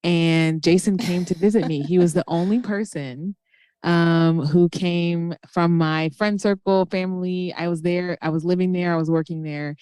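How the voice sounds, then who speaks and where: Podcast guest: woman, thirties, from Nigeria, low smooth voice, casual storyteller, dry studio-like sound. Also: steady tone, moderate pace, mellow, no echo. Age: 30s.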